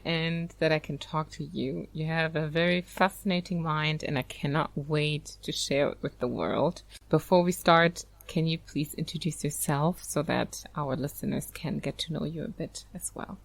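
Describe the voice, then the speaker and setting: A woman's voice: low voice, a little slow, gravelly. A woman hosting a podcast, introducing the episode and chatting with a guest in an upbeat, enthusiastic way. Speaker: podcast host. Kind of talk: casual interview chat.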